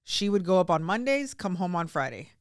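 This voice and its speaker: A woman's voice: fairly low pitch, big pitch swings, fairly quick, no echo, studio quality. A young woman hosting a podcast, chatting in a gushing, emotional way with lots of fillers. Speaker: podcast host. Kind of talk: casual interview chat.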